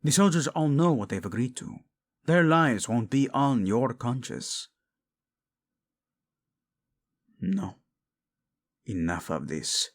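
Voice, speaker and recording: A husky, breathy prince in love , acted by a man, speaking at a slow, deliberate pace. He is fiercely protective and burdened by guilt, pleading with intense tenderness in a romantic audio drama and often falling toward a whisper. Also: mid-range voice, lively intonation. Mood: agitated, stern. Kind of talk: romantic audio roleplay acting.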